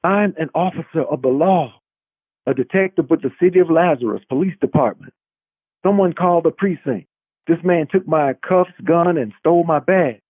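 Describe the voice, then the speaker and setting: A man acts out a hot-headed male police detective in an audiobook-style novel reading. He talks tough and slangy with an indignant bluster, raised in pitch. The sound is dry and a little dull. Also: normal speed, husky, no room sound. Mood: pleading.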